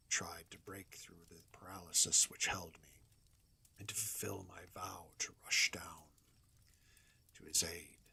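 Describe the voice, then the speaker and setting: Man narrating horror in a hushed whisper. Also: low voice, gravelly, slightly rough. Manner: hushed, whispery, lingering delivery. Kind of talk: dramatic horror story narration.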